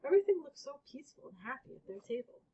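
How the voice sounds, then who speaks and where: A woman with a husky voice reading a children's book aloud as a storybook reader. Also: high voice, very animated, rapid-fire. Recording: dull, lo-fi recording.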